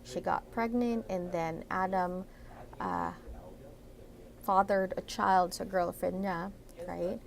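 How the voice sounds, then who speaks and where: A woman with a Filipino accent walks her live-stream viewers through a topic, switching between English and Tagalog. Her voice has a gravelly, fry-tinged edge, and she keeps pausing on fillers and a checking "right?". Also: lowish voice, lively intonation. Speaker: immigration consultant hosting a live Q&A. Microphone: computer setup at a desk.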